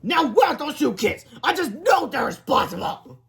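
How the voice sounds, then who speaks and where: A man voicing a foul-tempered middle-aged school principal, shouting and snarling, in a children's storybook reading. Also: a little high, animated, normal speed, gravelly. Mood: sarcastic, suspicious.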